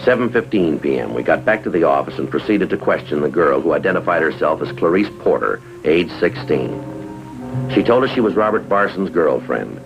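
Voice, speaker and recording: A man's voice: extremely low pitch, animated, a little fast, gravelly, exaggerated voice. An American man playing a stoic, businesslike police detective in a 1950s drama, speaking in a clipped, matter-of-fact way. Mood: flat, official. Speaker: police detective lieutenant and narrator. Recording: dull vintage TV soundtrack, music behind.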